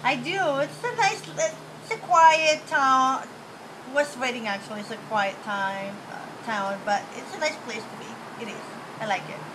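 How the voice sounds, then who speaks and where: A woman's voice: sits high, a little slow, background noise. A woman from the Dominican Republic with a Dominican Spanish accent, answering interview questions casually and candidly, correcting herself as she goes. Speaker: interview guest, small business owner.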